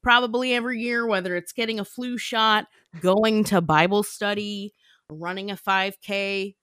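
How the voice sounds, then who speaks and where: A woman's voice: medium pitch, steady tone, moderate pace, a bit bright, smooth, dry recording. Chatty laughing woman podcaster, dry sound. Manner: chatty, laughs often, many fillers. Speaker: podcast co-host. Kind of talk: casual chat.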